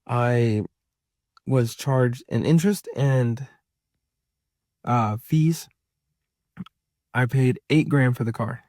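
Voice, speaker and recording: A man's voice: medium pitch, takes it slowly, long pauses, a little mellow, no room sound, studio quality. A man of about thirty chatting casually to his viewers as a vlogger, rambling and repeating himself for emphasis. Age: around 30. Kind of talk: casual personal chat to viewers.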